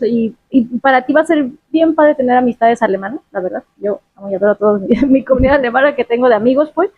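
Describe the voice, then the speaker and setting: High-voiced Mexican woman on a tinny line. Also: no echo. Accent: Mexican Spanish. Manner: lively, chatty, laughs often. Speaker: guest. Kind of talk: casual interview chat.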